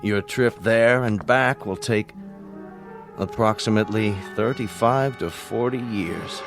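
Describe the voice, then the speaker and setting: An American actor playing a grave, candid older space scientist in a radio drama, with a formal, precise and deliberate delivery. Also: male voice, lowish voice. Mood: solemn, deliberate. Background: faint music.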